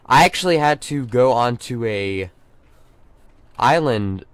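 Young male gaming host, congested and sore-throated, loose rambling commentary; smooth mid voice, dry close mic. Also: mid-range voice, lively intonation, slow pace, no echo. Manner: rambling, restarts often, lots of fillers. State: sore throat, stuffy nose. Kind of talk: casual let's play commentary.

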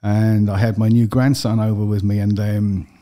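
Low, mellow man with a London accent. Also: moderate pace. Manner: plain, steady storytelling with fillers. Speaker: guest. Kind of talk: personal interview. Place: podcast studio with brick wall. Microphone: studio desk mic with headphones.

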